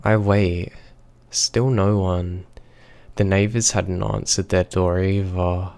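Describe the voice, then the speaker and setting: A man with a very deep, gravelly voice reads a scary story aloud. He keeps his delivery flat and unhurried, letting each line settle. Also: no echo. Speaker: horror story narrator. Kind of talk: scripted horror narration.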